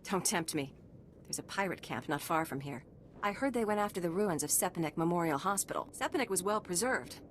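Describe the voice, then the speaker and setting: An earnest sci-fi game doctor played by a woman speaks in a slightly low female voice. She is measured and formal, with dry wit, over faint rumbling noise. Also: animated, natural voice. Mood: dry, frustrated. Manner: measured, formal, matter-of-fact. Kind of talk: video game dialogue acting.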